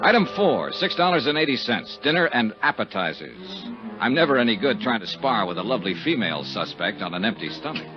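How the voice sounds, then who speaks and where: An actor playing a sardonic, flirtatious American investigator with clipped, wry speech in 1950s radio detective style. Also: male voice, medium pitch, big pitch swings, fairly quick, close to an everyday voice. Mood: brooding narration. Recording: dull old radio recording with music.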